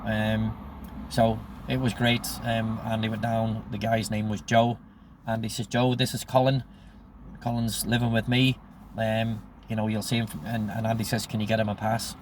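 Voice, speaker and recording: A man's voice: lowish voice, monotone, moderate pace, a little hiss or hum. A man of sixty with a Northern Irish accent, telling stories from his own life in a casual, rambling way and quoting remembered conversations. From Northern Ireland. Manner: rambling, acts out remembered dialogue. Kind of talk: casual anecdotal monologue.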